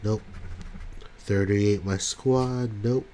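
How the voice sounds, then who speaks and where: A man's voice: fairly low pitch, steady tone, warm tone. A man with a congested voice from a cold, calling out numbers one by one as he scratches lottery tickets. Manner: slow, calls out numbers one by one. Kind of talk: casual commentary while scratching tickets.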